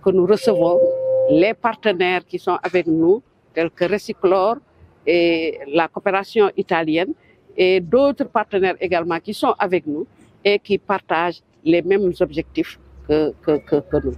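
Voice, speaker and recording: A woman's voice: fairly low pitch, a little slow. Senegalese woman official, slightly dull recording. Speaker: elected local official, vice-president. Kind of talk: formal press statement.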